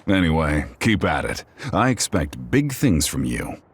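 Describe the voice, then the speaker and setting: A man playing a confident, seasoned major general in a video game, speaking with measured, encouraging authority. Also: rumbling low, sing-song, noisy background, exaggerated voice. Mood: commanding, approving. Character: commanding major general, confident, seasoned male military officer.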